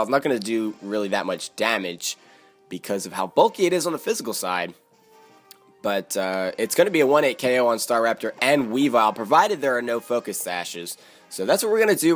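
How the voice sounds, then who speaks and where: Casting: hoarse Philly-young man, chatty gaming commentary, faint music bed. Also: medium pitch. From Philadelphia, USA. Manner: chatty, strategizing aloud, frequent fillers. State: hoarse, raspy from yelling. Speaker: gaming YouTuber. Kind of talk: live game commentary.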